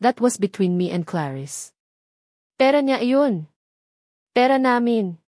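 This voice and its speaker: A woman's voice: a little high, lively intonation, slow pace, darkish tone, no echo, clean studio sound. Woman voicing an angry, curt Filipino man. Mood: angry, defensive. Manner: cutting, curt. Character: angry, defensive man with a sharp temper.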